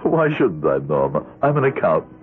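A man's voice: deep voice, sing-song, moderate pace. A man playing a mild, patient, middle-aged accountant husband in a 1970s radio drama, placid and accommodating. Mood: gently concerned. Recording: narrow old radio recording.

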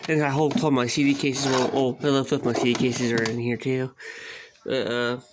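A man chatting casually in a home video, halting and repeating himself as he shows off a collection. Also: medium pitch, flat delivery. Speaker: cassette collector showing a collection. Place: small bedroom, at a closet, indoors. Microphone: handheld phone camera, speaker behind it. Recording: noisy handheld home recording, clicks.

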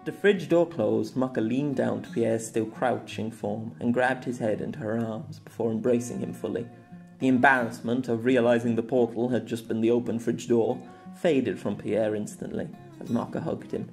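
Man narrating a story, steady. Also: medium pitch, creaky, soft background music. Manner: measured, storytelling. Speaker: audiobook narrator. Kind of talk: scripted narration.

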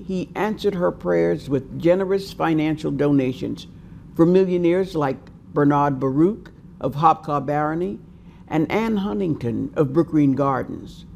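An older woman narrating a documentary in a measured, reverent storytelling manner. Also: fairly low pitch, vocal fry, a little mellow, slight background noise. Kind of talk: scripted narration. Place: indoors before dark shutters. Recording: music bed behind voice.

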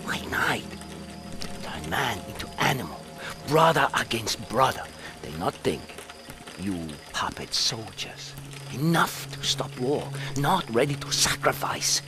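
A menacing enemy soldier in a game cutscene. He speaks harsh broken English at a very slow, deliberate pace, with clear music behind him. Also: mid-range voice, sing-song. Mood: bitter. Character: bitter, menacing male enemy soldier interrogator. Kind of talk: video game cutscene acting.

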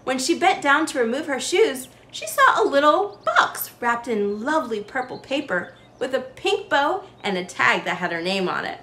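A young woman reading a children's story aloud with expressive, sing-song emphasis. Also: high-pitched, moderate pace, hardly any pauses, reverberant.

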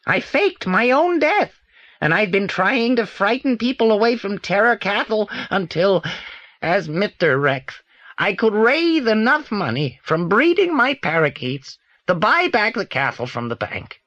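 An actor with a British accent playing a reclusive, secretive old film actor in a children's audio drama, deliberate and theatrical. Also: male voice, sits high, sing-song, fairly bright tone, dry recording, highs cut off, full character voice. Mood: confessional.

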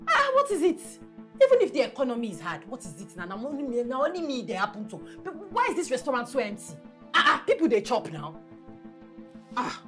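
A stern Nigerian mother running a struggling restaurant. Her high voice swoops widely as she scolds in exasperated, sharp questions, with music underneath. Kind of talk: naturalistic film drama.

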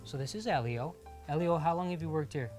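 A man's voice: a little high, a little fast, darkish tone. Enthusiastic male host over music. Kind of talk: casual on-camera interview and storytelling. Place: indoor upholstery workshop. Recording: music behind the voice.

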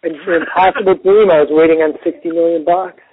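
An American man with a husky voice chatting casually with a friend, agreeable and a little halting. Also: fairly high pitch, fairly even intonation. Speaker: podcast co-host. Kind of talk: casual phone chat between friends. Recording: muffled lo-fi phone-call audio.

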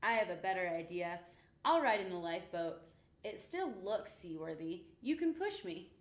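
A proud, helpful little gray mouse who lives on a ship, played by a woman reading a storybook. The delivery is earnest and lively, in a slightly echoing room. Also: on the low side, normal speed. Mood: nervous. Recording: roomy, echoing indoor sound.

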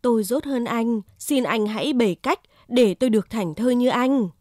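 A woman's voice: fairly high pitch, normal speed, mellow, dry recording, studio quality, natural voice. A woman voicing a humble, weary, naive plough buffalo in a cartoon fable. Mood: pleading. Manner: resigned, meek.

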